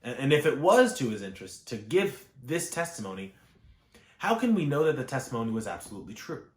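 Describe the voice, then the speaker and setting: A man reading a scholarly history text aloud as a narrator, in a measured, emphatic and formal delivery. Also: medium pitch, sing-song. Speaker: audiobook reader. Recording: echoey room.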